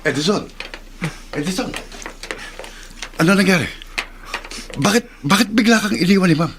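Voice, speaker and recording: A man's voice: high-pitched, animated, moderate pace, noisy background. An actor playing a friendly, encouraging household helper who respects his boss, in a Tagalog radio drama. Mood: concerned.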